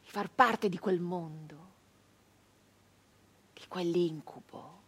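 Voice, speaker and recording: An actress playing an embittered, wounded brigand woman of the nineteenth century in a theatrical monologue, speaking in halting, emphatic short phrases with a husky voice. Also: lowish voice, fairly bright tone, full character voice. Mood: haunted, hushed. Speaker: stage actress.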